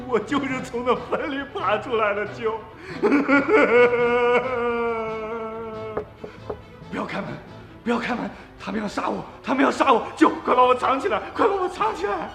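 A high, panicky young countryman, echoing. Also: unhurried, gravelly, pauses often, sharp tone, soft background music, exaggerated voice. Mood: panicked, sobbing. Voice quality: high, strained. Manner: stammering, pleading. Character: terrified, gullible young countryman dragged into tomb robbery. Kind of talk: radio drama acting.

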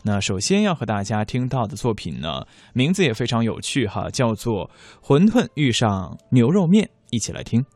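Male presenter, mid-range pitch, animated delivery, scripted introduction, dry sound. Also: normal speed, no room sound. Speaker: radio host.

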